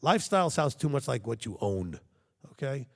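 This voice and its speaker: A man's voice: medium pitch, very animated, normal speed, creaky, in a large hall. A man preaching a sermon to his congregation, talking conversationally and checking in with frequent rhetorical questions. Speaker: congregation leader.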